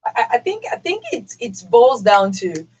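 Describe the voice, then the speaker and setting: Nigerian lady hosting a radio discussion, bit of a husky voice, really emphatic and opinionated, says things twice to make the point. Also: fairly high pitch, sing-song, moderate pace, a little mellow, lo-fi sound. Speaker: radio show host. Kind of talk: discussion on a radio talk show.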